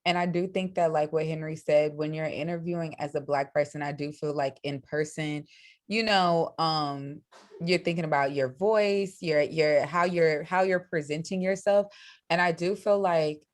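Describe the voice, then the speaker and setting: A young woman co-hosting a podcast, speaking in a low, fairly monotone voice and thinking out loud. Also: normal speed. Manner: says her voice is low and monotone, thoughtful with restarts. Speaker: podcast co-host. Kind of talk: casual podcast discussion.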